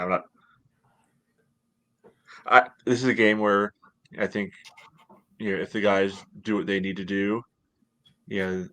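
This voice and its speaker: An American man in his thirties with a fairly low voice co-hosts a sports podcast from home. He discusses things casually, padding his sentences with small conversational fillers. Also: fairly bright tone. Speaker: podcast co-host. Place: home room, indoors, on a video call.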